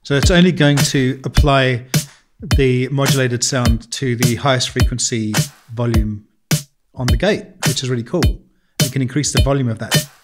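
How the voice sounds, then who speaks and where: A man with a mid-pitched voice explains music software in an informal tutorial style. He goes step by step through the settings, with music clearly playing around his voice. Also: normal speed. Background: music and processed audio demos.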